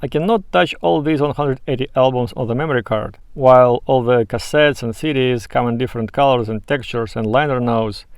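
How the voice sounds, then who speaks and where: A man presenting a scripted video essay in a measured, explanatory and opinionated way. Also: mid-range voice, smooth, no room sound, clean studio sound. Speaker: YouTube presenter.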